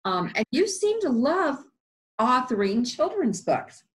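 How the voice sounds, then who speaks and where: A woman's voice: sits high, big pitch swings, measured pace. A woman hosting an interview, asking friendly, encouraging questions that ramble a little. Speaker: interviewer, host. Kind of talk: casual interview.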